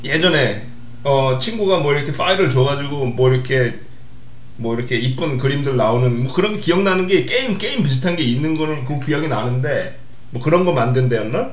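A man's voice: medium pitch, animated, a little fast, roomy sound. A man hosting a casual podcast chat, talking in a conversational, interviewing way. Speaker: podcast host.

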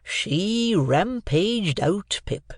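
A gentle, simple blacksmith drawls each phrase out slowly and softly, as a male reader puts him on. His voice sits a little higher than the reader's own. The sound is dry and close. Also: animated, breathy edge, dry recording, heavily acted. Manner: slow, drawn-out, soft. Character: slow, gentle, simple country blacksmith, kindly.

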